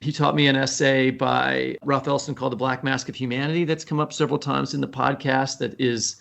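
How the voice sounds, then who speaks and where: Creaky-voiced man explaining things earnestly. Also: mid-range voice, flat delivery, a little fast, a bit bright. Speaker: guest writer. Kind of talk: conversational literary podcast discussion.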